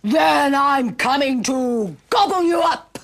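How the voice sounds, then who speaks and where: A woman voicing an ugly, menacing male troll from a storybook, roaring out slow, drawn-out threats. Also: sits high, animated, voice pushed up, exaggerated voice.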